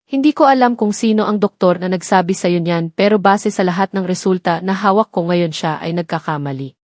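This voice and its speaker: A woman with a Filipino accent voicing a gentle but firm woman doctor in a story, measured and serious. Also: lowish voice, no room sound, studio quality, natural voice. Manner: measured, choosing each word.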